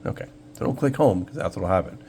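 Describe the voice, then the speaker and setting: A man's voice: low voice, fast. A man casually hosting a screen walkthrough, explaining things step by step in an informal, easygoing way. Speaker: host of a shortwave radio channel. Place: indoor room, filming a computer screen. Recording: clear steady hum and background noise.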